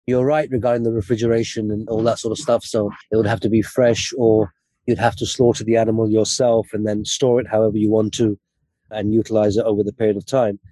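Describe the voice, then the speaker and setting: A man's voice: on the low side, fairly even intonation, brisk, dark tone, no room sound. A man born in the UK, a guest in an interview, speaking in an earnest, opinionated way. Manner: earnest, opinionated, opens with Arabic invocations. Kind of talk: interview answers.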